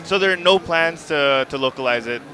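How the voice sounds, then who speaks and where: Man, host, upbeat, affirming, bright forceful voice, slightly high. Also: fairly quick. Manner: upbeat, affirming, asks leading questions. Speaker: host interviewing game developers. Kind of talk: convention booth interview.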